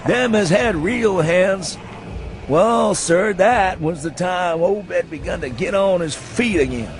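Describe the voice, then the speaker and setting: A man's voice: high voice, slow, slight background noise. A man voicing a drunken, hysterical old man with a rural New England accent and rustic, goading speech in a dramatised story reading. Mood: conspiratorial, rambling. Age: elderly. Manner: rustic dialect, goading questions ending in "huh?". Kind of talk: dramatised audiobook reading for radio.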